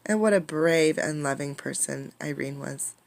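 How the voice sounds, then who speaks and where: A woman reading a picture book aloud with dramatic, emphatic delivery, pausing to put questions to listeners. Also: low voice, creaky. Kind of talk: expressive storybook read-aloud with questions to listeners.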